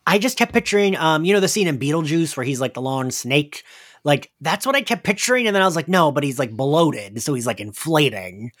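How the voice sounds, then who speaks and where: A man's voice: a little high, expressive, a little fast, a bit bright. A man hosting a casual recap discussion, conversational and often questioning. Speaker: podcast host.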